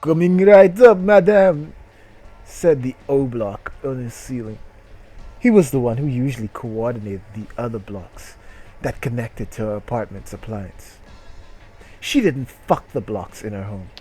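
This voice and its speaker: A man's voice: mid-range voice, unhurried, mellow, breathy edge, soft background music, poor audio. A man hosting a comic dramatic reading of a short story, with mock-serious narration that can break into incredulous outbursts. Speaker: host reading stories.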